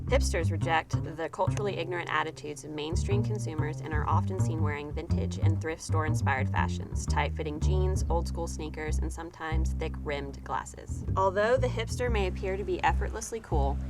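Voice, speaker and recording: Woman narrator, low voice, dry wry listing tone, music behind. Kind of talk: scripted narration.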